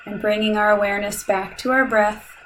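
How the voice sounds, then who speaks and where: A woman leading a guided meditation in a calm, soothing, measured way, leaving long pauses between instructions. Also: medium pitch, a little hiss or hum, rough recording.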